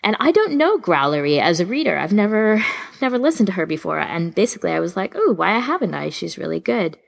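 A woman podcast co-host chatting casually in an informal, animated way, wandering into tangents as she gives recommendations. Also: lowish voice, fast talker, creaky. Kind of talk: casual chat, recommending audio fan fiction.

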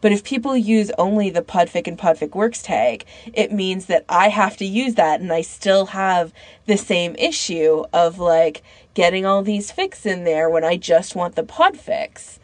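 A woman's voice: mid-range voice, animated, measured pace, a little mellow, no room sound. A woman co-hosting a podcast, talking casually and conversationally with frequent filler "like". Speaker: podcast co-host.

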